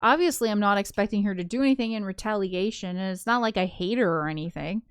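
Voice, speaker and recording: A woman's voice: medium pitch, fast, clean tone, no room sound, studio quality. A woman reading a visual novel aloud, slipping into casual, chatty commentary with giggly asides. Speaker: co-host of a gaming show.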